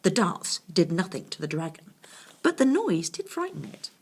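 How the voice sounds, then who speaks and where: A woman's voice: lowish voice, very animated, normal speed, breathy edge. A woman reading a children's bedtime story expressively, with confiding asides to her young listeners.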